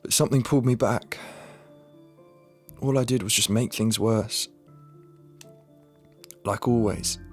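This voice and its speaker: An actor playing a young man sectioned in a psychiatric hospital, speaking haltingly and stammering under medication. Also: lowish voice, natural voice. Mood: despairing. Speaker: psychiatric patient. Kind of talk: radio play acting.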